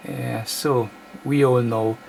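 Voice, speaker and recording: A man's voice: mid-range voice, steady tone, vocal fry, a little mellow, a little hiss or hum, rough recording. A man who is not a native English speaker, giving an unscripted hands-on technical demonstration in short, hesitant chunks. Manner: halting, hesitant phrasing in short broken chunks. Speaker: host of electronics repair demonstrations. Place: indoor electronics workbench.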